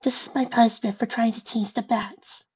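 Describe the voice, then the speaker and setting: Meek female bat pony, high soft breathy voice, dejected, unsteady, storybook reading, dull recording. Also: steady tone, moderate pace. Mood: guilty. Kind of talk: fan fiction story reading.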